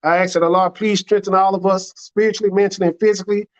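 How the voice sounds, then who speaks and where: A man's voice: high-pitched, level tone, quick pace. An American man speaking as an imam, giving an earnest, plainspoken religious address that leans on repeated phrases. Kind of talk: sermon, religious public address. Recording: dull, lo-fi recording.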